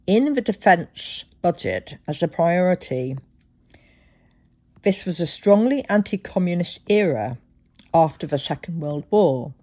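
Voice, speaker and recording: A woman hosting a solo podcast, telling things reflectively with deliberate, dramatic phrasing. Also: on the low side, a little mellow. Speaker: podcast host.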